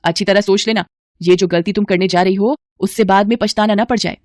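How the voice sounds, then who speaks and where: A woman voicing a meddling, quarrelsome neighbour in an animated storybook tale, needling and bossy. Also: mid-range voice, fairly quick, no room sound, studio quality, natural voice.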